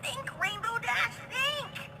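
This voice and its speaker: A woman's voice: extremely high pitch, slow, very noisy, pitched above the performer's own voice, heavily acted. A woman voicing an exhausted, urgent young female pegasus pony in a dramatic story reading. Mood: frantic, strained. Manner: strained, urgent. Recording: very loud ambience behind.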